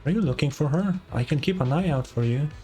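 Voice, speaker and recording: A man voicing a helpful female fast-food stall worker in a video game. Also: on the high side, expressive, mellow, soft background music, pitched above the performer's own voice, lightly acted. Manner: polite, customer-service helpful. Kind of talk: reading game dialogue aloud.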